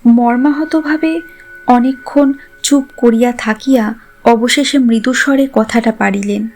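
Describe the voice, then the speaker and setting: A woman's voice: on the high side, fairly even intonation, measured pace, a little mellow, slight background noise. A woman narrating a literary story a script.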